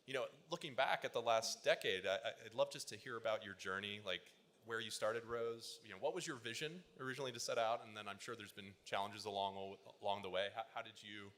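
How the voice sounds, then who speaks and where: A man's voice: lowish voice, fast talker, fairly bright tone, a little hiss or hum. A man moderating a panel in a conference hall, upbeat and encouraging, with fillers and restarts.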